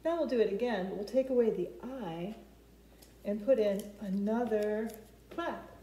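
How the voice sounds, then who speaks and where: Slow, roomy-sounding woman hosting children's storytime. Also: mid-range voice, dark tone. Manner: simple, clearly phrased explanations with questions to young children. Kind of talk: speaking to preschoolers, instructional. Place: living room with open kitchen at home. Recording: roomy echo, noticeable background noise.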